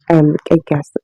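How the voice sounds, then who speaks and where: A young Kenyan woman hosting her diary podcast, talking casually in a raspy voice because she has just woken up, soft-spoken and rambling with frequent laughs. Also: low voice, no room sound, lo-fi sound. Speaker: podcast host. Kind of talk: casual diary-style monologue.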